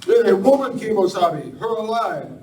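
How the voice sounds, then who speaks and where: A man's voice: sits high, sing-song, moderate pace, darkish tone. Man acting a terse sidekick scout, echoey. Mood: terse, stoic. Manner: clipped broken-English phrasing. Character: loyal Native sidekick scout, terse, stoic. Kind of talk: live Western radio play acting. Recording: echoey, noisy room from far off.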